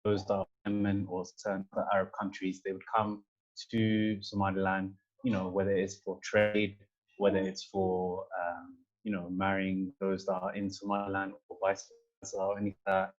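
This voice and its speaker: A man with a London accent giving his opinions in an informal panel talk, haltingly, often repeating words as he makes his point. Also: low voice, completely flat delivery, muffled recording. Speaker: panel speaker.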